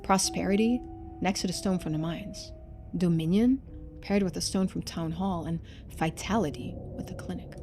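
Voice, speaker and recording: A woman streamer reading a story game aloud in expressive narration, with casual asides. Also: fairly low pitch, normal speed, soft background music.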